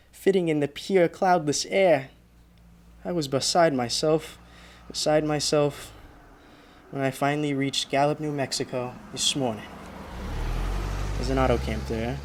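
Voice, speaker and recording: An actor playing a frightened, exhausted driver in a radio drama, halting and muttering to himself. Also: male voice, a little high, slight background noise. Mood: fearful, desperate. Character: frightened, exhausted male driver haunted by a phantom. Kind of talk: radio drama monologue and dialogue.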